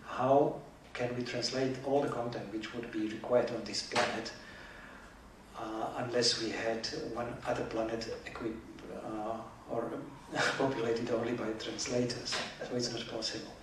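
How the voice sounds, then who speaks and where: A man answers an audience question at a conference in halting, non-native English, filling pauses with "uh." His voice comes from far off in a big echoing hall, with clear background noise. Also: medium pitch, level tone. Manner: hesitant, non-native phrasing with "uh" fillers. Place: large lecture hall. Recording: echoey, distant hall audio with noise.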